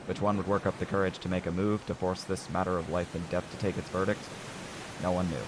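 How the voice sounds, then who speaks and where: A man's voice: sits low, flat delivery, fast, noisy background. A man hosting an audio drama anthology with scripted, dramatic narration. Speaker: narrator, host.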